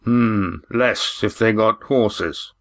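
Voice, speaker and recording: A man voicing a steady, wary, commanding tavern man with folksy nautical speech in an audiobook. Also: lowish voice, extremely slow. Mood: grim, steady. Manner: terse, folksy nautical dialect.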